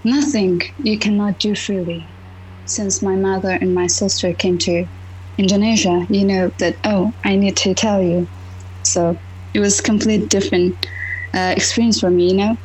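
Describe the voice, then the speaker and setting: Halting Afghan-accented woman, faint background hum. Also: medium pitch, steady tone, darkish tone, low-quality recording. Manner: halting, searching for words. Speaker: podcast guest sharing her story. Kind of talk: informal personal storytelling.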